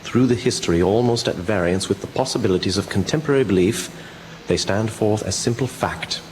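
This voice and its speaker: A man plays a solemn gentleman presenting evidence in a radio drama. His voice is very deep, formal and grave, with faint noise behind it. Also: fairly quick, natural voice, poor audio. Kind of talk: solemn radio drama narration, addressing audience.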